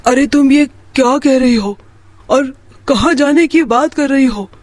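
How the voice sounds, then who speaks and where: A man's voice: very high voice, unhurried, no echo. A man voicing a meek, luckless young son and husband in a children's moral story. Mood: bewildered.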